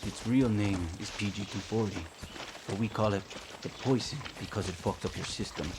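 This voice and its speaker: A male actor as a hardened guerrilla fighter from a video game, bitter and hostile. His voice sits fairly low, gruff and clipped, with clear noise behind it. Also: normal speed, lightly acted. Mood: terse briefing. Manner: gruff, curt, hostile, profane. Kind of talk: video game dramatic acting.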